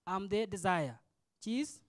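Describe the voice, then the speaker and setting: A man's voice: high voice, animated, measured pace, dry recording. A man in his twenties with a South Sudanese accent, speaking off the cuff as a student leader, explaining in short phrases and questioning his listeners. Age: 20s. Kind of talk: impromptu forum speech.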